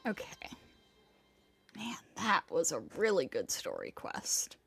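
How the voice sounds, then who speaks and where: A woman's voice: lowish voice, huge pitch swings, slow pace, vocal fry, fairly bright tone, breathy edge. A woman livestreaming a game with casual reaction commentary.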